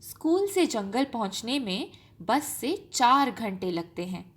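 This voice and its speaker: A woman with a high voice tells a children's story. She goes slowly and expressively, with wide swings of intonation that give the telling drama. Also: very animated, husky.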